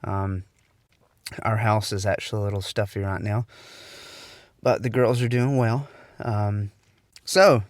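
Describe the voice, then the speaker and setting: Casual podcast host, young man, stuffy nasal voice, low and smooth, dry close sound. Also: dry recording. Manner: rambling, with many ums and restarts. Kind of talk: casual solo podcast talk.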